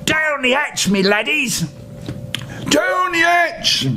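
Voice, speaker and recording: An older man voicing a blustering, hearty pirate captain with bellowed, drawn-out lines for a children's storybook. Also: big pitch swings, pushed and bright, exaggerated voice. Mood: bellowing. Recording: noisy home recording with rumble.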